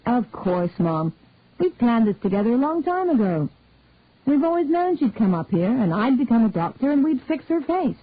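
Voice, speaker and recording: A woman's voice: fairly high pitch, sing-song, normal speed, no echo, pitched above the performer's own voice. A woman doing an earnest young son. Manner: earnest, matter-of-fact. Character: grown son, eager earnest young man. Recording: narrow phone-like dry sound.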